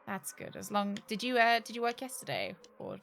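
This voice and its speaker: A woman's voice: a little high, wildly swooping pitch, moderate pace, gravelly, fairly bright tone, a little hiss or hum. A British woman livestreaming a game, chatting casually and jokily with sarcastic asides and some swearing. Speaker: game streamer. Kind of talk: casual livestream chat while playing a game.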